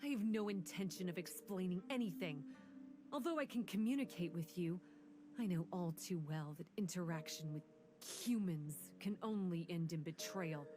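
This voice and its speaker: A woman voicing a young female vishap raised among creatures, who speaks in a cold, grave and measured way. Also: fairly low pitch, music in the background, natural voice. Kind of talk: video game voice acting.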